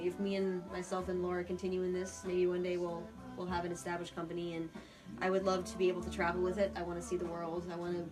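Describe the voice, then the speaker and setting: An American woman talking casually as an interview guest, earnest and a little rambling and self-effacing. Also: on the low side. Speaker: interview guest, puppeteer and theater artist. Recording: distant mic with music behind.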